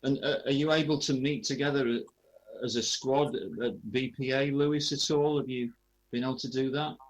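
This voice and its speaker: Husky-voiced male radio interviewer. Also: mid-range voice, steady tone, moderate pace, rough recording. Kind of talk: chatty sports interview over a call.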